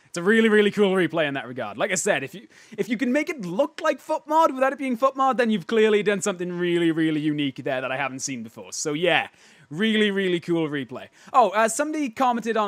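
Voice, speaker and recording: A hoarse young man hosting a casual replay showcase, rambling and joking with frequent restarts. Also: sits high, a bit bright, no room sound, studio quality. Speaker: host.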